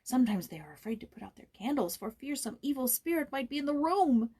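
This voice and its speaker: A woman's voice: high-pitched, big pitch swings, fast talker, husky, pitched above the performer's own voice, heavily acted. A woman voicing a frightened, mistreated young boy in a storybook, timid, sorrowful and tremulous. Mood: hushed, secretive. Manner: tremulous, sometimes dropping to a hushed whisper.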